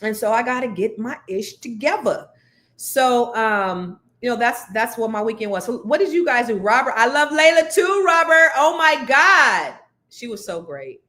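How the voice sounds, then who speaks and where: A woman hosting a live show, chatting excitedly to viewers; her voice is deeper than usual from cigar smoke. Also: normal speed. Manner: chatty, excitable storytelling with many fillers. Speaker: host of a live money and news show. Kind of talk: casual chat to viewers.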